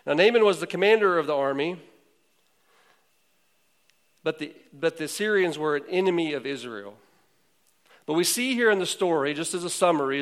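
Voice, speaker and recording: A man's voice: on the high side, clean tone. A man preaching a sermon, retelling a story in a conversational way with frequent fillers. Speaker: pastor.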